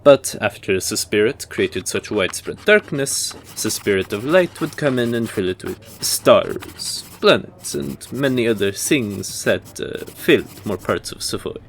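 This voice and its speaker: A man playing a formal history professor in an audio roleplay, lecturing in a measured, deliberate manner. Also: on the low side, lively intonation, creaky, slight background noise. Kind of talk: roleplay audio drama acting.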